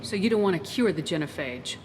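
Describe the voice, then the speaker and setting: Woman as low-voiced, decisive space commander. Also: animated, fairly quick, big-room sound, background noise, natural voice. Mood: probing. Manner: direct, businesslike, assured. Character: tough, decisive female military space commander. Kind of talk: video game dramatic acting.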